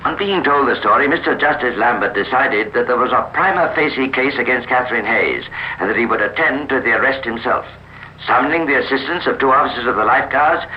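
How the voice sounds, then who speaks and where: Male crime-drama narrator, measured and formal, medium pitch, noisy 1950s radio recording. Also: highs cut off.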